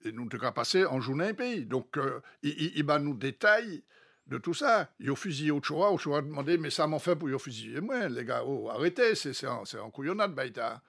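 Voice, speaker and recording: Halting Martinican man, Creole-laced French. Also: a little high, expressive, vocal fry, fairly bright tone, husky. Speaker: studio guest. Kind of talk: casual discussion, storytelling about history.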